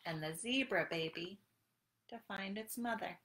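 Bright-voiced woman leading children's storytime. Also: medium pitch, a little slow, a little hiss or hum. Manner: sing-song, encouraging, addressing small children. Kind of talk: children's storytime, talking to young viewers. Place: small room at home, indoors. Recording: roomy echo on home webcam recording.

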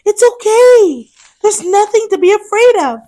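Storybook girl hippo played by a woman, high voice, reassuring, lively big sister. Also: a little slow, full character voice, low-quality recording. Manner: bright, encouraging.

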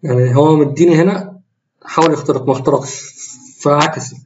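A man with an Egyptian Arabic accent, voice a little tired and hoarse, talking through his trades in a screen-recorded walkthrough, often breaking off and correcting himself. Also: on the high side, brisk, a little mellow, lo-fi sound. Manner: rambling, self-correcting, explains while trading live. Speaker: trading tutorial host.

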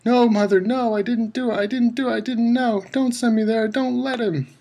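A terrified teenage boy, voiced by a male reader, pushed high and shrill. He pleads in desperate, breaking cries. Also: steady tone, normal speed, vocal fry, warm tone, heavily acted. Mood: desperate pleading.

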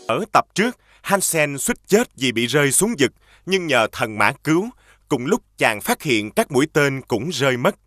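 A man's voice: mid-range voice, sing-song, normal speed, fairly bright tone, no room sound, clean studio sound. A man narrating a scripted fairy-tale recap as a storyteller.

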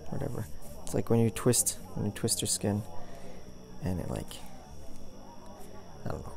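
A young man acting as a caring, goofy boyfriend in an intimate ASMR roleplay, talking in a rambling, halting way. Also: lowish voice, lively intonation, vocal fry, crowd noise. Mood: gentle, casual. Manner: rambling, halting, chuckling storyteller. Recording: music playing behind the voice.

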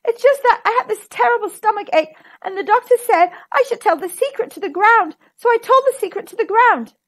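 Woman acting a timid storybook barber, very high, whining, anxious, swooping pitch. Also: animated, brisk, a bit bright. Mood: panicked, pleading. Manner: pleading, whining. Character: timid, anxious male barber keeping a secret.

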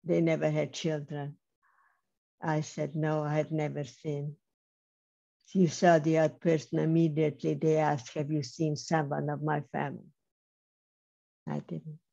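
An older woman giving a measured, emphatic personal testimony over a video call, speaking slowly with pauses. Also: low-pitched, level tone, creaky, warm tone. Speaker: Holocaust survivor speaker. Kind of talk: slow personal testimony talk. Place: home room on video call. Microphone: webcam mic on video call. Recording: slightly dull lo-fi video call audio.

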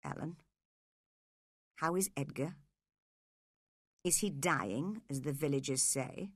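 A woman's voice: low-pitched, lively intonation, takes it slowly, pushed and bright, no echo, clean studio sound, voice pushed down, exaggerated voice. A woman voicing a cold, scheming, cruel father in a dramatised audiobook.

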